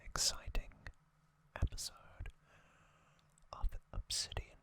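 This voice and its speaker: An ASMR host narrates trivia entirely in a whisper. The delivery is very slow and deliberate, broken into short phrases. The whisper is bright and sharp, and the voice sounds slightly strained. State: voice slightly off after singing hard.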